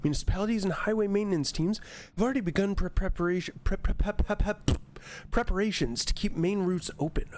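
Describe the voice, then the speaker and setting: A man's voice: sits high, fairly quick, a little mellow, a little hiss or hum. A man casually commentating on a game and reading text aloud, with a wry, mildly profane manner. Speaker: streamer playing a horror game.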